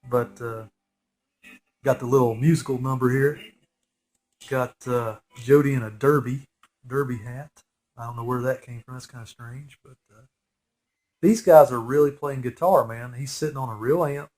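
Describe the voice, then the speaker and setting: A chatty man in his forties talks through a movie, his voice nasal and stuffy from a head cold. He rambles in a relaxed, conversational way and gets openly excited about what's on screen. His pitch sits right in the middle of the usual range for a man. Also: moderate pace, long pauses. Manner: rambling, chatty, geeky enthusiasm. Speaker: fan film commentator. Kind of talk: casual movie commentary.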